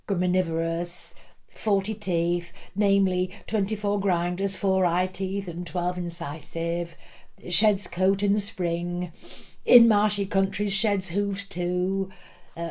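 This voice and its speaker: A cold, dutiful schoolboy, acted by a woman in a dramatic novel reading. He recites by rote in a mechanical list. The pace is slow and measured. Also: on the low side, flat delivery, a little mellow.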